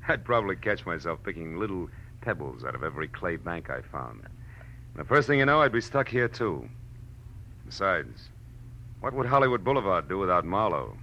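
Deep-voiced hard-boiled American detective. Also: male voice, sing-song, moderate pace, pushed and bright, dull audio, slight background noise, full character voice. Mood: wry. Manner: terse, cynical, tough-talking. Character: hard-boiled, world-weary male private detective narrator. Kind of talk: 1940s radio drama acting, noir narration. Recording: band-limited old radio recording with music.

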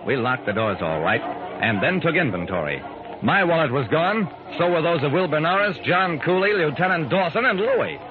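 A man's voice: fairly high pitch, expressive, normal speed, narrow, tinny audio, a crowd in the background, close to an everyday voice. A man acting as an earnest, kindly amateur sleuth who narrates his own story in an old radio drama. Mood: measured, intrigued. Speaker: narrator and lead actor. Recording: noisy, band-limited old radio broadcast.

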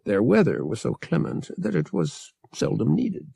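Male sci-fi audiobook narrator, creaky and animated in pitch, measured storytelling, very dry close sound. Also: dry recording. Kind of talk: scripted narration of a science fiction novel.

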